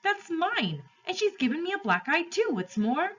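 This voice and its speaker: A woman's voice: very high-pitched, very animated, moderate pace, fairly bright tone, dull audio, pitched above the performer's own voice, exaggerated voice. A woman voicing an indignant fat male shopkeeper in an exclamatory, complaining storybook performance.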